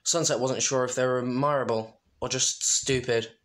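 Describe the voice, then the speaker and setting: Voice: mid-range voice, steady tone, normal speed, a bit bright. An amateur reader narrating a story and breaking into informal, self-deprecating asides. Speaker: amateur fan fiction reader.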